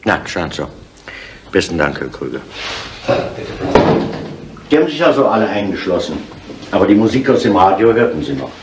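An actor playing a matter-of-fact police inspector who questions witnesses in a German radio crime drama. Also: male voice, deep voice, sing-song, normal speed, pauses often. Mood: probing. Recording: roomy, faintly noisy 1970s radio play.

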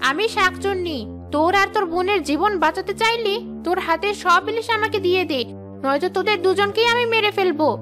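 A woman's voice: very high-pitched, a little fast, music in the background. A woman voicing a greedy, menacing female ghost in a cartoon, with a scheming, threatening manner. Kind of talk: Bengali cartoon voice acting.

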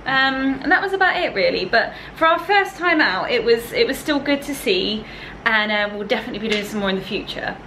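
A woman's voice: on the high side, animated, fairly quick, few pauses, sharp tone. A young woman chatting casually to a handheld camera as a travel vlogger, laughing often. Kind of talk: casual vlog chat. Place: outdoors on a pier, then a hotel room. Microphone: handheld camera at arm's length. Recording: noisy handheld camera audio.

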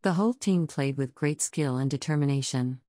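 A woman's voice: very deep voice, moderate pace, warm tone, dry recording, clean studio sound. A woman reading scripted example sentences aloud as language test prompts.

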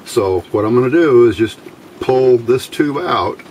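A man giving an unscripted hands-on electronics demonstration in a measured, explanatory way, pausing between short phrases. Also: medium pitch. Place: indoors at a workbench. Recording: steady background room noise.

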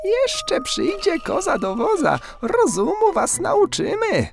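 A man puts on a pair of scheming young village wives from a fairy tale, their voices pitched way up high and lilting. The delivery is sing-song and playful, like a coy little tease. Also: wildly swooping pitch, takes it slowly, creaky, music in the background, heavily acted. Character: two sly young village wives, teasing.

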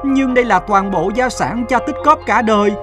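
A man voicing an earnest, emotional young son in an animated fairy tale. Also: very high voice, normal speed, over music. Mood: alarmed.

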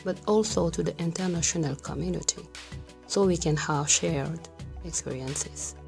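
A middle-aged woman gives a formal, measured statement in an indoor museum interview. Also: deep voice, gravelly, darkish tone, soft background music.

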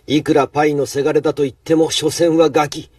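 A man playing an arrogant, villainous master chef in an anime, husky and haughty, formal but full of contempt. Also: a little high, little pitch movement, a little fast, darkish tone, far from the mic, slight background noise, natural voice. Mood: scornful. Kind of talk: Japanese anime acting.

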